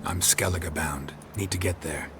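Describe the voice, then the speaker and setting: A man's voice: fairly even intonation, moderate pace, music in the background, lightly acted. An actor playing a weary, terse male monster hunter in a game. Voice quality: low, terse and dry.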